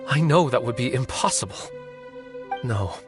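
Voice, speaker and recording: A calculating novelist out for revenge, played by a man in a video game. His medium-pitched voice is articulate and deliberate. He phrases everything with a theatrical, persuasive eloquence over faint music. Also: animated, close to an everyday voice. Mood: bitter, persuasive. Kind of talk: video game character acting.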